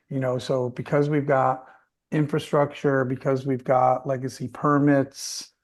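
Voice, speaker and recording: A man's voice: mid-range voice, vocal fry, darkish tone. A man speaking as a company executive, answering questions off the cuff on an earnings call and repeating phrases for emphasis.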